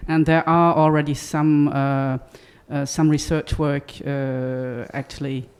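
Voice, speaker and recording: Guy on a panel, highish smooth voice, pretty even tone. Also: slow. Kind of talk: panel discussion remarks.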